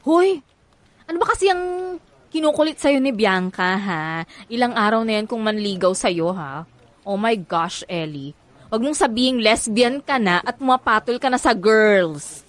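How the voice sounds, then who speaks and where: An actress with a Filipino accent playing a nosy, teasing, excitable co-worker in a radio comedy drama, full of exaggerated exclamations. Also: female voice, fairly high pitch, measured pace. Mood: shocked.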